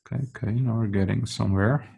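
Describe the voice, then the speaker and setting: Man, Dutch accent, drawing tutorial, low husky voice, unhurried, thinks aloud. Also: steady tone, mellow. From Netherlands. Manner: thinking aloud while sketching, halting with fillers and restarts. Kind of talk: casual drawing tutorial commentary.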